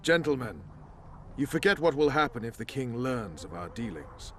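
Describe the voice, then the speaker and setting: An actor playing a calm, persuasive male conspirator in a fantasy game. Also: mid-range voice, slight background noise, lightly acted. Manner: measured, persuasive.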